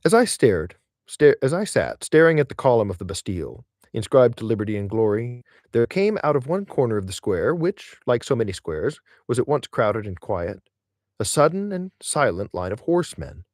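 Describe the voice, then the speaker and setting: A man's voice: medium pitch, big pitch swings, moderate pace, a little mellow, dry recording, studio quality. A man reading literary essays aloud as an audiobook narrator, with theatrical, emphatic phrasing. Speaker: audiobook reader.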